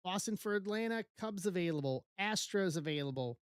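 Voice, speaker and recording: A woman's voice: fairly low pitch, expressive, fairly quick, clear, resonant tone, dry recording, clean studio sound. A woman with an East Coast American accent hosting a live card break stream, reading out team assignments and calling trades in short bursts.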